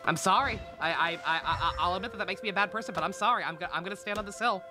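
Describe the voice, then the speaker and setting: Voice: medium pitch, rapid-fire. A video game streamer giving casual, joking gameplay commentary. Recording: game music behind the voice. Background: video game music.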